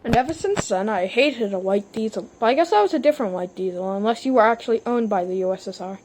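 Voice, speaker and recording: A woman's voice: a little high, lively intonation, fast, darkish tone, a little hiss or hum, natural voice, poor audio. A woman voicing a laid-back, weary war-veteran engine in a toy-train roleplay, casual and matter-of-fact. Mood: low-key, matter-of-fact.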